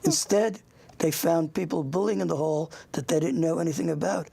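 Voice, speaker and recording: Older host, raspy and gravelly, informal interview style, meandering and anecdotal. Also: mid-range voice, mellow, no echo. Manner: rambling, halting, anecdotal. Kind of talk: informal interview with a child guest.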